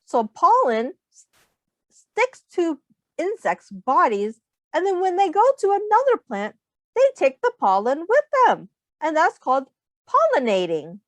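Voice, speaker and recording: A woman with a high, hugely varied voice reads aloud to young children. She teaches as she goes, taking her time, explaining in simple terms and putting questions to the listeners. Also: unhurried, dry recording. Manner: instructive, expressive, addressing young children with questions.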